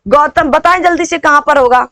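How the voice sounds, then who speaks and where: A woman teacher with a notably high voice leads an exam coaching lesson. She quizzes her listeners, asking short rhetorical questions and repeating key points. The sound is dry, with very little room in it. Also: fairly quick, a bit bright, no room sound.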